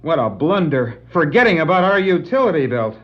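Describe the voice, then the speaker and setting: Boyish superhero sidekick, eager, earnest, high-pitched, 1960s audio drama, lo-fi sound. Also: male voice, brisk. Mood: cheeky. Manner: earnest, excitable. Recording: dull vintage vinyl record.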